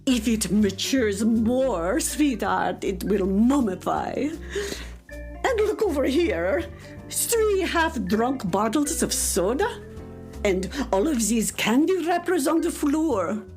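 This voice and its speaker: A woman's voice: on the high side, big pitch swings, takes it slowly, darkish tone, faint music behind, full character voice. A woman playing a patient but firm cat mother in a children's radio play, nagging with exasperation. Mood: exasperated.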